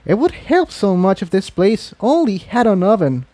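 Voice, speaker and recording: Storybook role: gracious female unicorn pony, high and soft-edged, acted by a man. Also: sing-song, moderate pace, dry recording. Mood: pouting.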